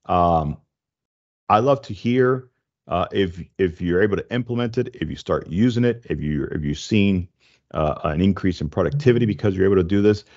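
A man's voice: deep voice, lively intonation, normal speed, darkish tone, highs cut off. A middle-aged man hosting a casual tech podcast, explaining things conversationally into a close desk microphone. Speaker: podcast host. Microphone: close desk microphone with headphones.

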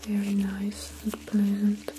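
A young woman plays a soothing medical professional in an ASMR role play. Her voice is soft, breathy and often whispered, with little rise and fall and a slow, pausing delivery. She is recorded up close in a room, with clear noise behind her. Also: mid-range voice, completely flat delivery. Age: young adult. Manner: slow, gentle, soothing, with pauses. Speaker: ASMR performer playing a dermatologist. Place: small indoor room.

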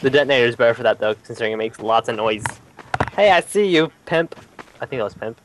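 A young man chatting excitably while playing a game with friends. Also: mid-range voice, fast talker. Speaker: gamer. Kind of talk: casual gaming chat. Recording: noticeable background noise.

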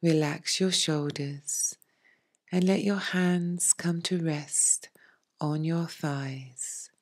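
A woman leading a guided meditation as a teacher, in a soft, breathy, hushed and soothing voice with long pauses. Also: low voice, steady tone. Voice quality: soft, breathy, near-whispered. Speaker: yoga nidra meditation teacher.

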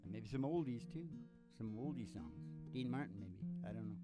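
A man's voice: mid-range voice, lively intonation, fairly quick. An American man in his sixties hosting in a folksy, rambling, theatrical old-time showman way, close on a stage microphone. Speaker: host and singer of a country music show. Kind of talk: folksy rambling stage patter over guitar. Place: small curtained studio, seen indoors. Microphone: stand microphone, close. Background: acoustic guitar.

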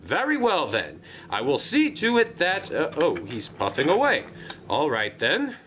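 A pompous railway controller as a man acts him out for a children's train tale. He is formal, effusive and eager, with swooping high inflections and a bright, forceful delivery. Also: wildly swooping pitch, measured pace, slight background noise, heavily acted. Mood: politely flustered. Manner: formal, effusive, flustered when surprised.

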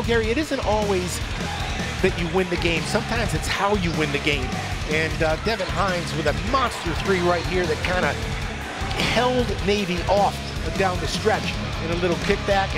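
An older man giving enthusiastic, conversational color commentary on basketball highlights over a broadcast headset. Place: courtside in a college basketball arena.

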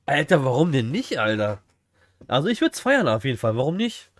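A young male streamer talks in a casual, slangy way to his viewers. His mid-pitched voice is recorded close and dry indoors, and he chats informally as he reacts live. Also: sing-song, brisk, dry recording. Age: young adult. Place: small indoor room at home.

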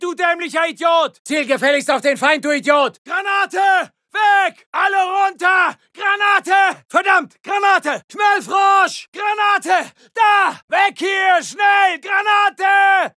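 An actor playing a gruff, foul-mouthed German wartime soldier in a video game, calling out short, urgent battlefield barks. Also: male voice, very high voice, no echo, studio quality, exaggerated voice. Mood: angry. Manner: urgent, forceful, barked short battlefield shouts.

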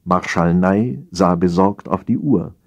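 A man's voice: sits low, slow, darkish tone. A man narrating a satirical story as a dramatized audiobook reading.

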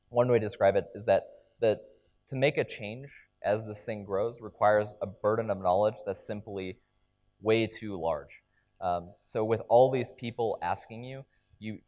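A man's voice: lowish voice, darkish tone. A young man giving a conference keynote on a large stage into a headset mic, explaining ideas with frequent ums and restarts. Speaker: keynote speaker.

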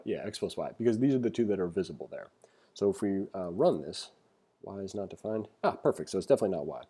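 A man giving a casual tutorial as an instructor, reading aloud and commenting informally as he thinks out loud. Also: lowish voice, breathy edge. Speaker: programming instructor. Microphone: screen-recording voice-over.

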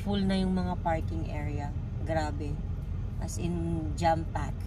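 A woman's voice: sits low, steady tone, takes it slowly, background noise. A woman in her forties chatting and narrating a family vlog, teasing and laughing often, filming with a phone held at arm's length in a car. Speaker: family vlogger. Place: inside a parked car, then outdoors at a busy expo. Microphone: phone camera at arm's length.

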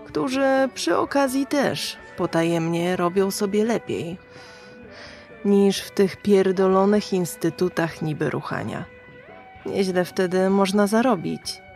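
Gravelly, foul-mouthed underground streetwalker. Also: female voice, mid-range voice, a little mellow. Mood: scornful, cynical. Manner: crude, streetwise, taunting, slangy. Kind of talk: radio drama acting.

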